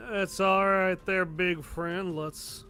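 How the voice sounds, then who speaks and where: Man playing a bright, jaunty, reassuring companion. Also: sits high, steady tone, takes it slowly, full character voice. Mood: soothing. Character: reassuring companion with a disarming smile.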